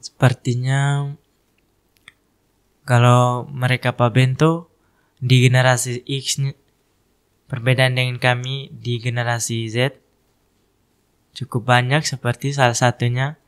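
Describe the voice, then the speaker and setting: A teenage high school student answering interview questions in hesitant, broken-up phrases. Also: male voice, clear, resonant tone. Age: teens. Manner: hesitant, speaks in short pieces. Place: studio.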